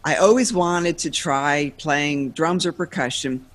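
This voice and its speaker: Lady guest, chatty n lively, laughs a lot while telling her story. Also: sits low, a little slow. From United States.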